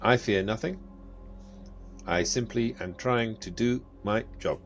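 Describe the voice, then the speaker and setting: A man's voice: on the low side, slow, soft background music. A man playing a cold, dutiful male artificial intelligence in an audio drama, formal and matter-of-fact. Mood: cold, detached. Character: male AI overseer candidate, cold, dutiful, unafraid.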